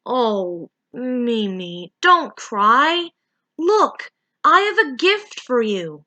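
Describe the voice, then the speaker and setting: A woman's voice: fairly high pitch, big pitch swings, very slow, sharp tone, exaggerated voice. A woman playing an omniscient storybook narrator who chats to a character in a breezy, placating way. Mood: consoling.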